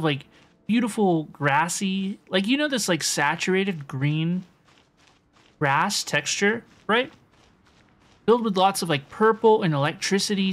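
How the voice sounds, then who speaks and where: A young woman game streamer chatting casually with viewers as she plays, often repeating her phrases. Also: on the low side. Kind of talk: casual live-stream chat and gameplay commentary. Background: music.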